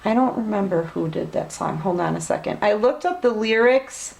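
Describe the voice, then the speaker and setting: A woman giving a casual online tarot reading, chatty and direct, gently scolding her listeners. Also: mid-range voice, expressive, moderate pace, gravelly. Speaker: tarot reader.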